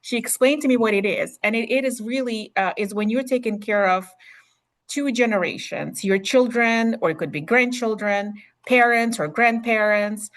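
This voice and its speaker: A woman's voice: fairly high pitch, normal speed, a bit bright. A middle-aged woman from Ukraine with a Ukrainian accent, a nurse practitioner giving a webinar talk in a chatty way full of fillers and restarts, heard over a video call. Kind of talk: webinar presentation.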